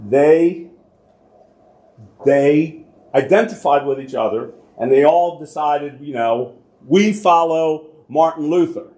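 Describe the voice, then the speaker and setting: A man's voice: fairly high pitch, dark tone. A man, a church archivist, giving an informal history talk to a congregation, chatting along and posing questions that he then answers himself. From United States. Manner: conversational, asks and answers his own questions. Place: church hall with an audience. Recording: roomy echoing hall.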